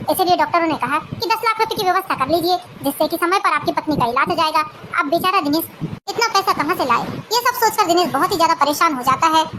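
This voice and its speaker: A woman's voice: extremely high pitch, noisy background. A woman storyteller narrating a cartoon family drama.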